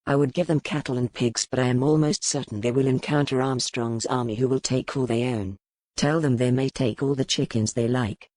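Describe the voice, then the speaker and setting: A man playing a grim, weary, commanding medieval duke in an audiobook, speaking in formal, measured phrases. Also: medium pitch, steady tone, darkish tone, close to an everyday voice. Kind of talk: dramatized audiobook dialogue.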